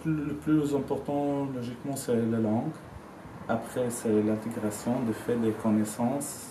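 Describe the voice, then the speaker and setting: A man's voice: mid-range voice, steady tone, unhurried. A man in his twenties from Syria, speaking French as an interviewee, searching for words but talking with conviction. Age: 20s. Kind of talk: casual testimony in a learned language. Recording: roomy, distant mic, faint noise.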